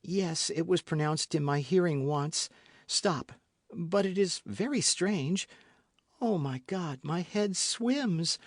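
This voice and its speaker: A man's voice: a little high, expressive, takes it slowly, no echo, studio quality, pitched above the performer's own voice. A man voicing a frail young woman who speaks in a faint, fading voice. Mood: distressed.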